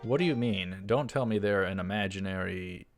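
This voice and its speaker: A man's voice: low-pitched, moderate pace, soft background music. A man voicing a stern, self-important young man who makes demands and threats in a visual novel. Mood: probing.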